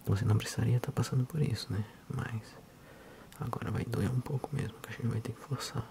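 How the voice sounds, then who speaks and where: A man in his thirties with a Brazilian accent, speaking softly and unhurriedly in an ASMR role-play as a physiotherapist addressing the listener. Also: medium pitch, fairly even intonation, vocal fry, noisy background. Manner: soft, unhurried, hushed.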